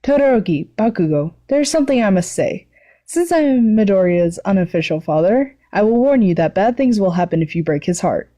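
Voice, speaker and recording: A woman's voice: mid-range voice, animated, a little fast, warm tone, close to an everyday voice. A woman voicing an earnest, cautioning, fatherly male hero and teacher in a story reading. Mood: warning. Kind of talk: fan fiction read aloud.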